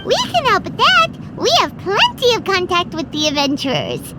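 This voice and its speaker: Casting: tiny bossy fairy sidekick, extremely high, wildly swooping anime game voice. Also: female voice, wildly swooping pitch, moderate pace, exaggerated voice. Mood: excited. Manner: exclamatory, chirpy. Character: tiny excitable childlike fairy companion girl, chirpy and bossy. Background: background music.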